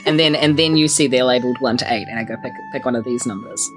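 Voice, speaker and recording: A woman's voice: rumbling low, quick pace, music in the background. A woman with a New Zealand accent chatting and improvising playfully in a tabletop role-playing game, in a rambling, jokey way. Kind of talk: casual improvised role-play chat.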